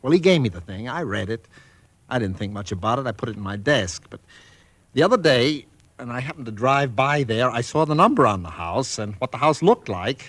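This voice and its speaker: A nervous real estate agent stammers and hesitates as he tells a strange story in a vintage radio drama. His voice is mid-pitched and plain, and the sound is dry. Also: very animated, brisk, fairly bright tone, no echo. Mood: worried. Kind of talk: 1940s radio drama acting.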